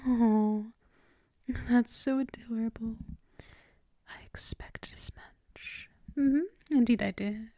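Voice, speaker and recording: A woman plays a gentle, dominant girlfriend in an intimate ASMR roleplay. Her voice is fairly high and mellow, moving very slowly between soft murmurs and whispers. She is soothing, affectionate and teasing, close on the microphone. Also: no room sound.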